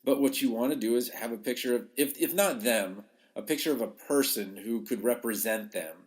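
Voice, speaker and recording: A man's voice: mid-range voice, fairly quick. A man talking informally as a podcast host and coach, giving advice in a conversational, emphatic way. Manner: conversational, emphatic, acts out short quotes. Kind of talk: informal advice talk to listeners.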